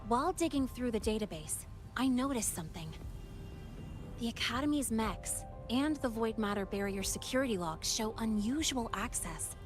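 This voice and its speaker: A woman's voice: fairly high pitch, animated, moderate pace, lightly acted. Actress as self-assured young female hacker, music behind. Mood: thoughtful, casual. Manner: casual, self-assured, explanatory. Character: confident, playful female hacker and tech expert, young adult. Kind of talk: video game voice acting.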